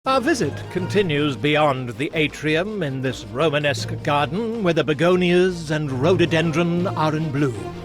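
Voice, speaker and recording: A man's voice: on the high side, lively intonation, measured pace, few pauses, music in the background. A man playing a pompous, long-winded narrator in a cartoon game, delivering florid, theatrical narration. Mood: grandiose. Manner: pompous, verbose. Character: pompous, florid male storyteller and tour guide.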